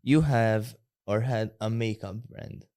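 A man's voice: on the low side, slow pace, a little mellow, clear, resonant tone, no room sound, studio quality. A young man co-hosting a podcast, asking questions in casual chat and reaching for the odd formal word. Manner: asks questions, uses formal words. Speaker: podcast co-host.

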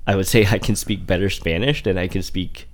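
A man in his forties with a very low, deep voice rambles through a casual conversation. He circles back on himself with constant fillers and restarts as he tells stories from growing up in Indiana. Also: fairly quick. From Indiana, USA. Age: 40s. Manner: rambling, heavy use of "like" and restarts. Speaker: guest.

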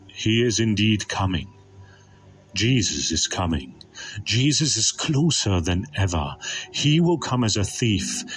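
A man preaches in a low register over a music bed. His delivery is solemn and deliberate, leaning hard on key phrases as he moves through scripture like a dramatic narrator.

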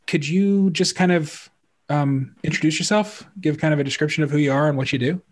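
A man hosting a podcast interview, halting and heartfelt, with many restarts. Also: fairly high pitch. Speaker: podcast host.

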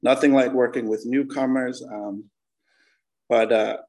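A man's voice: medium pitch, steady tone, unhurried, darkish tone. A man in his forties with an American accent, sharing an informal personal testimony at a recovery meeting in a candid, slangy, conversational way. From Connecticut, United States. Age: 40s.

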